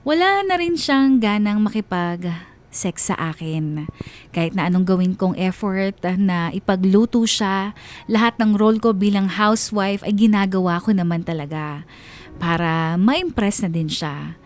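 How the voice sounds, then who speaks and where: A woman's voice: mid-range voice, unhurried, slight background noise, close to an everyday voice. An actress playing a devoted, wistful wife of thirty-four who narrates her own story in a radio drama. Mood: wistful, troubled. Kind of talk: dramatized first-person letter narration. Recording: music bed behind the voice.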